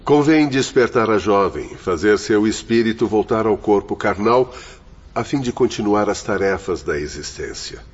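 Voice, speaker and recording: Casting: man as composed spirit doctor, low voice, formal instruction, muffled radio drama. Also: lively intonation, normal speed, natural voice. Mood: instructing. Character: authoritative male spirit physician, calm, instructing. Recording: dull recording with faint noise.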